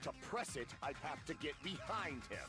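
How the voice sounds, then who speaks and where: Grandiose anime overlord dude, high voice jumping all over, loud game music. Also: male voice, lively intonation, normal speed. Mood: scornful, smug. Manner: grandiose, pompous declamation with mocking laughs. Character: bombastic, theatrical young man, self-styled dark overlord. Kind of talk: anime video game voice acting.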